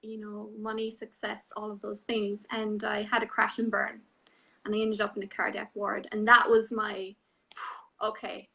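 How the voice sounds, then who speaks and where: A woman in her thirties with an Irish accent, telling her story as an interview guest in a soft, unhurried way with many ums. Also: mid-range voice, completely flat delivery, gravelly, low-quality recording. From Ireland. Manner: soft-spoken, unhurried, frequent ums and you-knows. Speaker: guest, transformational coach. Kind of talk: reflective personal story in an online interview.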